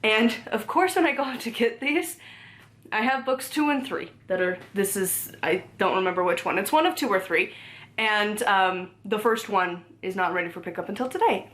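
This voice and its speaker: A woman's voice: mid-range voice, fairly bright tone. Chatty young woman rambling about books. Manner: rambling, chatty, many "like" fillers and self-corrections; easily laughs, talks to her cat between books. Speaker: book vlogger. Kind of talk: casual chat. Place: home, indoors.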